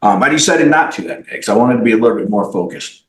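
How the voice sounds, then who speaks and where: A man, a company CEO, telling his life story as a podcast guest in an animated way, with many fillers and restarts. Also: fairly low pitch, quick pace. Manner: animated, acts out quoted dialogue, frequent fillers and restarts. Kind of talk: personal storytelling in a podcast interview. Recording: roomy echo.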